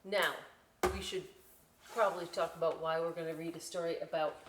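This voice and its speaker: A woman's voice: sits low, steady tone, brisk, echoey room. Woman, story time host, casual read-aloud, playful chatty asides, informal, distant mic, faint background noise. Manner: playful, informal, often checks in with "right?".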